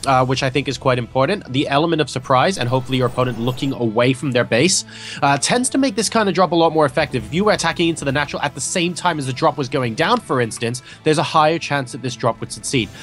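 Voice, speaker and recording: A man's voice: medium pitch, lively intonation, a bit bright, soft background music. A man casting a video game match, explaining builds in detail and trading light jokes with his co-caster. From Hong Kong. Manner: analytical, joking, quick banter. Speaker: esports caster. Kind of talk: esports play-by-play commentary.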